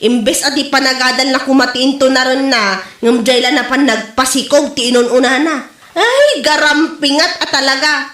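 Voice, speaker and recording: Casting: actress as nosy Ilocano village wife, high-pitched, mocking and gossipy, comedy drama, echoing room. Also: female voice, moderate pace, fairly bright tone. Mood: gleeful gossiping. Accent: Ilocano Filipino. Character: nosy, gleeful village gossip, a married woman. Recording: roomy, echoing audio.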